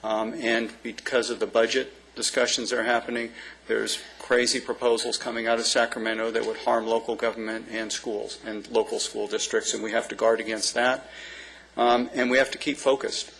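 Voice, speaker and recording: City manager guy wrapping up, low gravelly voice, pretty monotone, lots of ums. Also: moderate pace, poor audio. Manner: earnest, plain, with many ums and uhs. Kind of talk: closing remarks at a council meeting.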